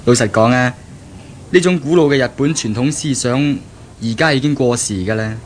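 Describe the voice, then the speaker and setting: A man's voice: mid-range voice, normal speed, lightly acted. A man with a Hong Kong Cantonese accent playing an affectionate, easygoing young bridegroom-to-be, amiable and earnest, in a 1970s radio drama. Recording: slightly noisy old radio recording.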